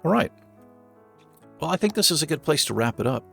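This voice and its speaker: A man casually commenting on his city-building game while he plays, thinking aloud with lots of fillers, his voice going hoarse. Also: a little high, big pitch swings, brisk, fairly bright tone, faint music behind. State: losing his voice. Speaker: gaming channel host.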